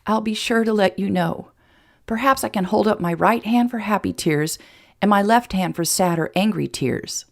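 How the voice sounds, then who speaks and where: Woman acting a higher-pitched, tender woman in love. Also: moderate pace, gravelly. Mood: teasing, loving. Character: tender, emotional, playful young woman in love.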